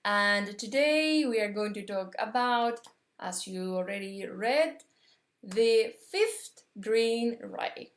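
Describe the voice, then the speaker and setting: A woman from Argentina with an Argentine Spanish accent, teaching a live metaphysics lesson in English, pausing and restarting as she searches for words. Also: mid-range voice, lively intonation, roomy sound. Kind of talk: live-streamed spiritual lesson.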